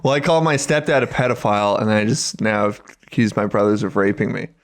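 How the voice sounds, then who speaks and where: A man's voice: on the low side, steady tone, fairly quick. A man in his thirties chatting casually as a podcast co-host, joking crudely and swearing freely. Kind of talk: casual chat.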